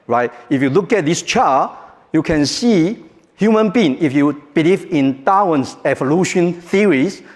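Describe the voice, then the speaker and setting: Taiwanese-accented guy giving a keynote, kinda high voice, jokey, big echoey hall. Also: a little mellow. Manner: joking, halting, non-native phrasing. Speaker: keynote speaker. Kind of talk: conference keynote talk. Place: large conference hall. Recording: reverberant hall.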